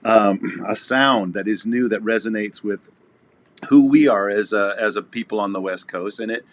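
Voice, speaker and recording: A man hosting a casual chat as a concert presenter, encouraging and quick to agree with his guest. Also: lowish voice. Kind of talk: casual conversational interview.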